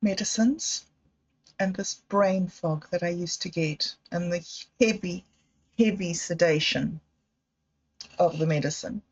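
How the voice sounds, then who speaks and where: A woman hosting a livestream, talking slowly and candidly in a meandering personal monologue. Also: lowish voice. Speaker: livestream host. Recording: dull lo-fi livestream audio.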